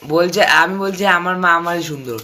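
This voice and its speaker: Guy doing clingy son on phone to mom, bright voice, terse casual replies. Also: high-pitched, normal speed. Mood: possessive, admiring. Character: clingy, soft-spoken grown son on phone to mother. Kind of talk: staged phone-call drama, casual domestic chat.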